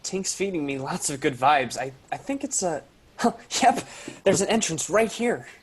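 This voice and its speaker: An actor playing a timid, nervous teenage boy in a sci-fi table read, with a husky voice and a hesitant delivery. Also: a little high, big pitch swings, normal speed. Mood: calm wonder.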